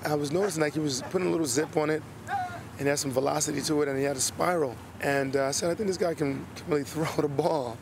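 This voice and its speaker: A man, a football player, talking in an upbeat, relaxed way in an outdoor interview. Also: fairly high pitch, fast talker, gravelly, heavy background noise.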